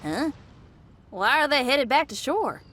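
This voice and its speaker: An actress playing a spunky, excitable young female rock musician in a video game, breezy and colloquial. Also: high voice, a little slow, a little hiss or hum. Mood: surprised. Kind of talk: video game character voice acting. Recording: loud music under the voice.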